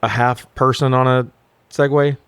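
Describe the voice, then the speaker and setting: A man's voice: mid-range voice, little pitch movement, normal speed, fairly bright tone, clean tone, dry recording. A man co-hosting a casual film review podcast, recapping scenes in a joking, casually profane way. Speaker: podcast co-host reviewing films.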